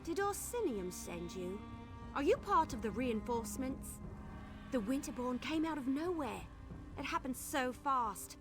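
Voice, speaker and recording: A woman playing a brash, sardonic young caravan guard in a fantasy video game, blunt and expressive. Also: high-pitched, measured pace, music in the background, exaggerated voice. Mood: earnest, wry. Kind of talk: video game dialogue acting.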